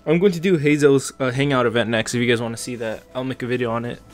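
A man's voice: medium pitch, fairly even intonation, fast. A man doing casual, joking gameplay commentary with plenty of slang and swearing, as a streamer. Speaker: gaming streamer.

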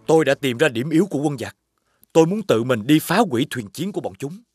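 An actor playing a brave, loyal young warrior in an animated folk tale, earnest and resolute in his delivery. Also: medium pitch, quick pace, faint music behind, close to an everyday voice. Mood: determined.

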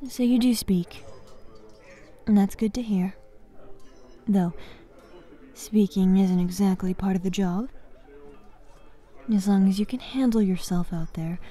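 A woman playing a bossy but caring young fighters guild master in an ASMR fantasy roleplay, soft-spoken and commanding. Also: medium pitch, natural voice. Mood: guarded. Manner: soft-spoken, commanding, frequent sighs and pauses.

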